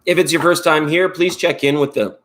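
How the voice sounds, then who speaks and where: A man's voice: fairly high pitch, fairly even intonation, brisk, fairly bright tone, a little hiss or hum. A Canadian man hosting a live tarot stream, chatting in a rambling, upbeat way and thanking viewers by name. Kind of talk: casual live-stream chat.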